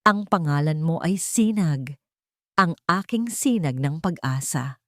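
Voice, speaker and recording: A resolute young mother, acted by a woman narrator, speaks with solemn, heartfelt deliberation. The voice sits a little low and dry, tender and forgiving, in audiobook style. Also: lively intonation, measured pace, fairly bright tone, no room sound. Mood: tender, hopeful.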